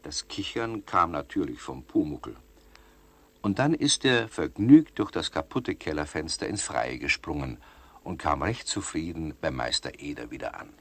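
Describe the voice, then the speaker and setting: A genial storyteller narrating a children's radio play. His voice is very low, with lively rises and falls. The delivery is wry and expressive. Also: moderate pace, slightly rough, full character voice. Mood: amused. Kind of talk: scripted narration.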